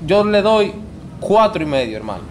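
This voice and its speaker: A young man with a Dominican accent and a noticeably high voice works a prank phone call. He keeps insisting and pleading like a promoter closing a deal, with faint noise behind him. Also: big pitch swings, normal speed. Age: 20s. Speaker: prank caller.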